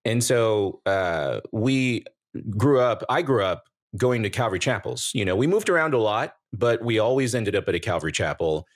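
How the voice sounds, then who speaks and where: A man in his forties from American Samoa, a guest telling his life story in a relaxed, joking way. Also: on the low side, normal speed. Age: 40s. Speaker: guest, YouTuber. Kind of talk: casual interview chat.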